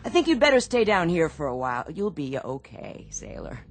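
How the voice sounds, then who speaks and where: A man's voice: on the high side, sing-song, a little fast. A man playing a folksy, reassuring ship's doctor in a radio drama, coaxing as he talks. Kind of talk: radio theatre acting.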